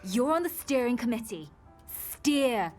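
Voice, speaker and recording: A woman's voice: on the high side, big pitch swings, a little slow, a little mellow, faint music behind. An actress playing an insistent, drily sarcastic village woman in a naturalistic radio soap. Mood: annoyed.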